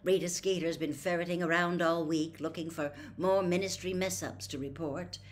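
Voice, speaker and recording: A woman's voice: lowish voice, fairly even intonation, moderate pace, lightly acted. Woman playing weary father, middle-aged, irritable, grumbling, storybook character.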